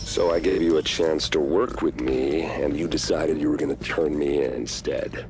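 A man's voice: a bass voice, fairly even intonation, normal speed, background noise. A man playing a cold, bitter rogue agent in a video game, menacing and persuasive. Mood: calm menace. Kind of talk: video game cinematic acting.

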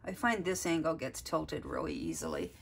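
A woman's voice: low voice, wildly swooping pitch, normal speed, vocal fry, husky. A woman art teacher giving a step-by-step drawing lesson, explaining as she works and thinking aloud. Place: desk, overhead camera on drawing.

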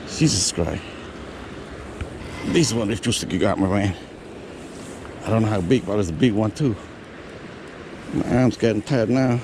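A man giving excited running commentary while he fishes from a riverbank, talking to himself and repeating words. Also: fairly low pitch, a little slow, pauses often. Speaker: fishing vlogger. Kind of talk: live commentary while fishing. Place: outdoors on a riverbank. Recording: outdoor recording with steady background noise.

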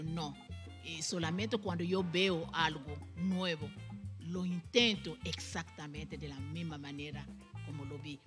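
Animated woman on a TV set. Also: on the low side. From Congo, raised in Cuba. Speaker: salon owner, guest. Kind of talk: TV talk show interview. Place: TV studio set. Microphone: handheld mic.

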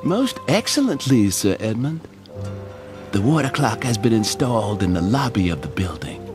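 A man's voice: lowish voice, very animated, normal speed. A man playing an obedient henchman in a comic audio drama, formal and deferential. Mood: dutiful. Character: obedient male henchman reporting to his master. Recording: music under the voice.

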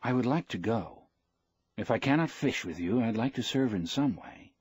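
A man's voice: medium pitch, animated, normal speed, darkish tone, husky, no echo. An earnest young boy, put on by a male audiobook narrator, affectionate and loyal. He speaks eagerly and respectfully, in a close, dry sound.

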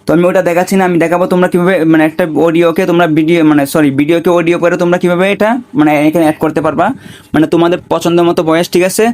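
A man's voice: fairly high pitch, darkish tone. A man giving an informal step-by-step tutorial, often checking in with repeated tags. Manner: repetitive, with frequent check-in tags. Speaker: gaming tutorial creator.

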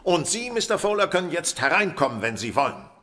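Victorian detective, deliberate, courteous, crisp forceful edge, expressive, radio play. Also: male voice, a little high. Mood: courteous, thoughtful. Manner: precise, deliberate, authoritative. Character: brilliant, composed, courteous male Victorian detective. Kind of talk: German audio drama acting.

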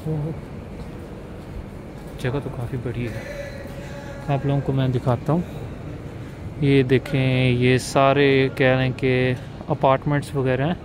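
A man's voice: medium pitch, level tone, unhurried, pauses often. A man with a Pakistani Urdu accent walking and talking through a casual travel vlog, loose and chatty with frequent fillers. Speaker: travel vlogger. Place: outdoors at a large urban complex. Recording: loud outdoor noise behind voice. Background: loud urban street noise and traffic.

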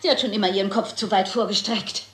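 A woman's voice: mid-range voice, fairly even intonation, quick pace, fairly bright tone, natural voice. Woman as gossipy spiteful widow, chatty, insistent, crime radio drama, echoey room. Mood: catty, gossipy. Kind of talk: German crime radio play acting.

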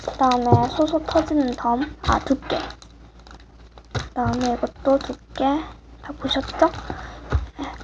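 Soft-spoken teen girl, rough voice. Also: high-pitched, fairly even intonation, a little slow, pauses often. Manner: soft-spoken, apologetic, hushed at times. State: voice a bit rough. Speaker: vlogger. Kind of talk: casual show-and-tell of swap items. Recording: muffled home recording with clear background hiss.

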